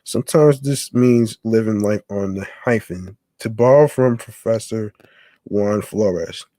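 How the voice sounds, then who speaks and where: A man hosting a podcast, reading a text aloud haltingly, stumbling and restarting on words. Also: medium pitch, dark tone, no room sound. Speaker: podcast host.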